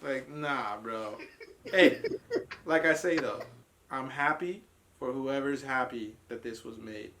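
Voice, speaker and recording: A man's voice: medium pitch, takes it slowly, fairly bright tone, a little hiss or hum. A man co-hosting a casual reaction podcast, blunt and profane, ranting with frequent laughs. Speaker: podcast co-host reviewing a trailer. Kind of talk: casual group reaction chat.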